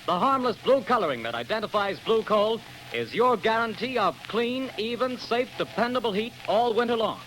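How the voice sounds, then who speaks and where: A man announcing a sponsor's message on an old radio show in a booming, emphatic way. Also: expressive, moderate pace, a bit bright, slight background noise, low-quality recording. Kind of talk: 1930s radio advertisement announcing. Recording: old radio recording with music.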